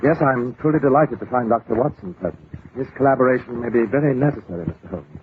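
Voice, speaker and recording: A man's voice: medium pitch, quick pace, natural voice. A man playing a courtly, easily flustered English lord in an old-time radio drama, effusive and slightly blustery. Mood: effusive, courteous. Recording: tinny, hissy old radio recording.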